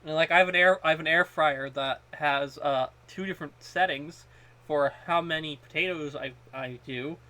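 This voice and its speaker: A man chatting casually as a podcast co-host, haltingly, often repeating the start of his phrases. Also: fairly high pitch, bright, forceful tone. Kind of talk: casual chat about food.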